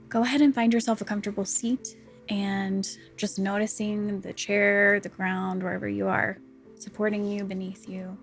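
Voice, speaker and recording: A woman leading a guided meditation, speaking in a soft, calm, unhurried and soothing way. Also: medium pitch, vocal fry. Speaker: counselor guiding a body scan meditation.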